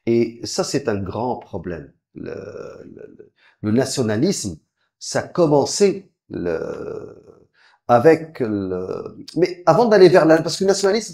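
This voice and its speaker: A man giving a religious lecture, explaining step by step, often restarting his sentences and quickly reciting Arabic verses. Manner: frequent halting restarts, lively swings in pitch and volume. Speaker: preacher.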